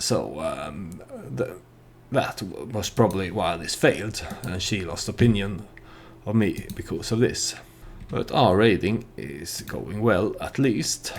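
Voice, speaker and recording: A man's voice: low-pitched, creaky, slight background noise. A man who is not a native English speaker gives casual gameplay commentary with storytelling, in a hesitant, meandering manner. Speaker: let's play host.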